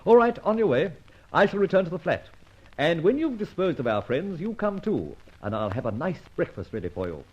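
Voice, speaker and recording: Theatrical, animated gentleman sleuth. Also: a little high, a little fast, husky. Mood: jaunty, assured. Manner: flowery, teasing, theatrical speech. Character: suave, playful amateur sleuth, theatrical and self-assured. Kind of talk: old-time radio detective drama acting. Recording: dull, muffled old radio recording.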